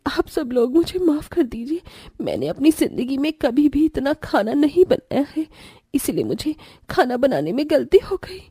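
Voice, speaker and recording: A woman playing a gentle, respectful, earnest young daughter-in-law from a poor family in a cartoon story. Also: high-pitched, big pitch swings, moderate pace, few pauses, dry recording, studio quality. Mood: apologetic.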